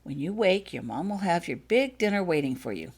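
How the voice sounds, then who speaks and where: A woman voicing a courteous, quietly commanding wizard father in an audiobook story, speaking smoothly and persuasively. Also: fairly low pitch, sing-song, a little fast, heavily acted. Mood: reassuring. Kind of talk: acted fanfiction audiobook dialogue.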